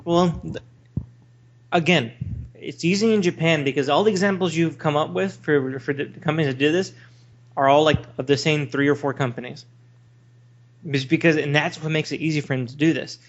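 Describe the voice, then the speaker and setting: A man's voice: on the high side, moderate pace. A man co-hosting a podcast, talking casually in an opinionated, rambling way. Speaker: podcast co-host. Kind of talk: casual discussion of video games. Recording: dull lo-fi voice recording.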